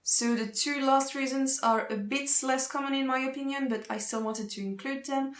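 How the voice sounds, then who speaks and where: A woman's voice: medium pitch, roomy sound. A young woman from Switzerland whose first language is French, speaking English with a French accent, earnestly explaining a topic in a vlog. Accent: Swiss French. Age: young adult. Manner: earnest, explanatory, pauses to find words. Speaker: social work student. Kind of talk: informational vlog.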